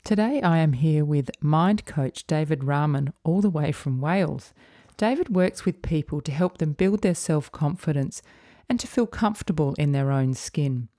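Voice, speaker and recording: A middle-aged woman, hosting a wellbeing podcast in a measured, deliberate way. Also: low-pitched, lively intonation, mellow, dry recording, studio quality. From Australia. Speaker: podcast host. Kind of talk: scripted intro then interview questions.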